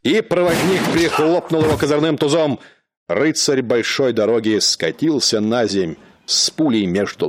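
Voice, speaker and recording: A man narrating a radio play in a measured, dramatic storytelling manner. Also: medium pitch, slight background noise.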